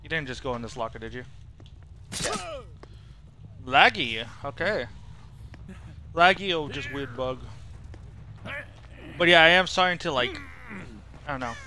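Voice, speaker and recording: A man's voice: medium pitch, expressive, unhurried, pauses often, sharp tone, slight background noise. A young man giving casual, rambling, opinionated live commentary as he streams a video game. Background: horror video game sound.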